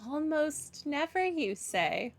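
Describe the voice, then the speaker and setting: A woman's voice: high voice, big pitch swings, slow pace, soft background music. A woman giving casual commentary as she plays through a game. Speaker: gamer commenting on a playthrough.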